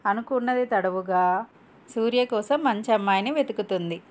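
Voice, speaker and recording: A woman's voice: mid-range voice, normal speed. A woman storyteller giving scripted narration of a moral tale.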